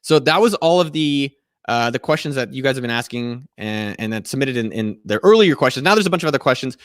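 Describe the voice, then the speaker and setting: A man in his thirties hosting a casual live Q&A from a small room, giving a profane, energetic pep talk to his viewers. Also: mid-range voice, fast, no room sound, studio quality. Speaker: host answering viewer questions. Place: small indoor room at home.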